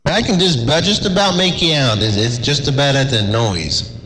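A man chatting on CB radio from a desert station, laid-back and drawling, with a slightly raspy voice from a cold. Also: mid-range voice, moderate pace, long reverb tail, background noise. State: has a cold. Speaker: CB radio operator.

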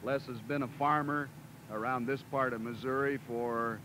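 A middle-aged man hosts a farm-report interview, asking measured, leading questions in a polished cadence. His voice sits at an ordinary mid pitch. He holds a handheld mic outdoors, and the old 16mm film sound carries steady noise. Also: low-quality recording. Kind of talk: broadcast interview. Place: outdoors by a cattle pen.

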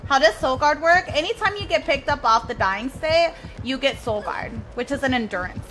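A woman's voice: sits high, animated, normal speed, background noise. A woman whose first language is Spanish, streaming live gameplay and chatting with her viewers while she plays. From Mexico. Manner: chatty, reads and answers chat while playing.

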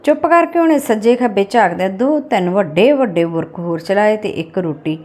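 Lady reading an audiobook story, mid pitch, expressive telling. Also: normal speed. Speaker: audiobook narrator. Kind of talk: scripted literary story narration.

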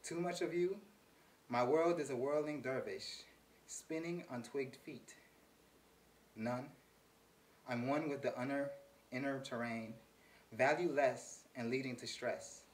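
Mid-pitched man reciting poetry, slightly distant. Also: a little slow, long pauses. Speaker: poet reading own work. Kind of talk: spoken-word poetry reading. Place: small theater, live reading. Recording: distant mic, faint noise.

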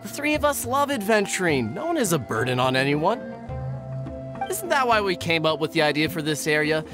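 Clever brother type in a game, self-assured, articulate, lots of pitch movement, music behind. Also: male voice, very animated, fairly quick. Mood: reassuring. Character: brainy, reassuring young brother, proud of his ideas. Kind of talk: video game dialogue.

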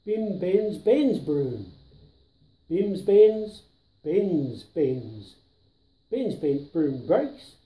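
A very mellow grandfatherly man in his seventies reads a children's rhyme aloud with lively swings in pitch. He stresses each word with care. The living room adds some echo to his voice. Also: very slow, pauses often. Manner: careful, emphatic tongue-twister delivery. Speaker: storyteller grandfather. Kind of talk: reading a children's rhyming book aloud. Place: indoors, living room on a sofa. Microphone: camera a few feet away.

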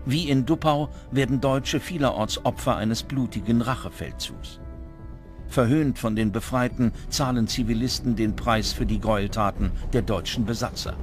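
Male documentary narrator, mid-range voice, scripted delivery, clearly audible music bed. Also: medium pitch, moderate pace. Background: music under the voice.

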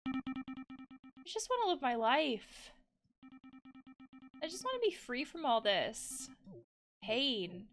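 Woman acting a fed-up, anxious human, high-voiced. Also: big pitch swings, slow, pauses often, fairly bright tone, faint music behind, heavily acted. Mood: weary. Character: fed-up, anxious young human longing to be normal. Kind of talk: reading visual novel dialogue aloud.

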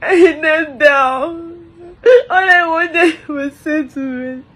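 A woman's voice: sits high, very slow, fairly bright tone. A distraught woman, crying and wailing through an emotional lament, repeating phrases over and over.

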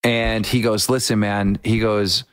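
Excitable young man telling a story. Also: on the low side, clear, resonant tone, no echo, studio quality. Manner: excitable storyteller, quotes people in quick bursts. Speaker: podcast host. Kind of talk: casual podcast chat.

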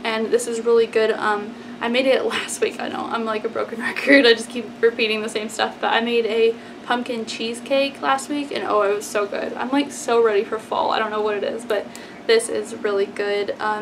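Chatty high-voiced young American woman vlogger. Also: steady tone, fairly quick. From Texas, United States. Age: 20s. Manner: chatty, informal, says "like" and "y'all" often. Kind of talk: casual grocery haul chat to camera. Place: small plain indoor room. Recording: clearly noisy room background.

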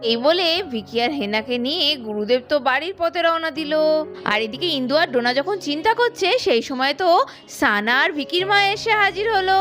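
A woman's voice: sits high, expressive, brisk, fairly bright tone. A woman narrating a children's doll story in a plain storytelling voice. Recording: music playing behind.

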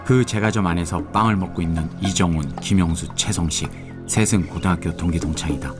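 Deep-voiced male drama narrator. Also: fairly quick. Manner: steady, descriptive storytelling. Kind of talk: scripted narration. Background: background music.

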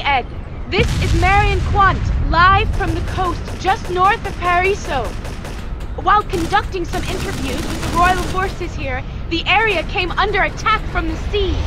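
A woman's voice: very high-pitched, unhurried. An actress playing a flustered woman war correspondent in a video game, giving live news reports with a hesitant, stammering delivery. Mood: tense, hesitant. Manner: hesitant, stammering under pressure. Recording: noisy outdoor report with vehicle sounds.

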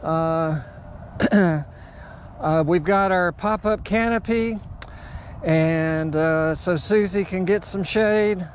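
An American man in his sixties chatting casually and haltingly as he narrates an outdoor vlog. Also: sits high, a little hiss or hum. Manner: halting, unhurried. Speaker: amateur radio operator and vlogger. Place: outdoors at a beachside park. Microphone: handheld action camera or phone at arm's length.

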